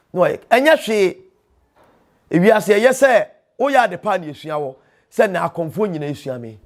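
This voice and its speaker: Male storyteller-host, Ghanaian accent, high voice, impassioned teaching delivery with emphatic repetition. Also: darkish tone. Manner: emphatic, proverb-laden, repeats phrases for emphasis.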